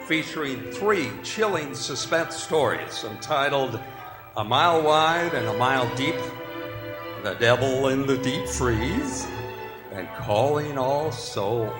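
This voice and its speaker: A man's voice: mid-range voice, lively intonation, slow pace. Roomy-sounding male old-time radio announcer. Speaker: announcer and host. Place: performing arts center stage. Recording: roomy live stage recording with music. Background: music.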